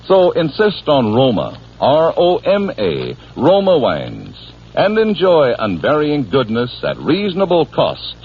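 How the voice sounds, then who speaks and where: A man's voice: mid-range voice, wildly swooping pitch, a little slow. A male American announcer delivering a forties radio advertisement with polish and emphasis. His mid-range voice swoops widely in a salesman's cadence, on a muffled old recording with faint noise. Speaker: commercial announcer. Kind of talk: 1940s radio ad read, scripted.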